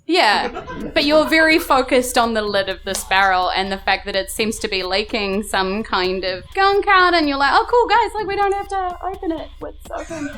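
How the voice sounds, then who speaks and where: A woman's voice: sits high, animated, normal speed, fairly bright tone, slight background noise. A woman running a live tabletop game as game master, narrating in a casual, playful way and often rephrasing as she improvises. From New Zealand. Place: live on stage at a club. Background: music under the voice.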